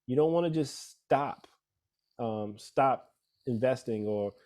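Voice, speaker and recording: A man at a middle pitch walks listeners through investing basics in a relaxed, dark-toned voice. He is a financial advisor presenting slides. He keeps checking in with his audience as he explains. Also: takes it slowly. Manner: conversational, many fillers and "all right?" checks. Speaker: financial advisor giving a talk. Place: church event room.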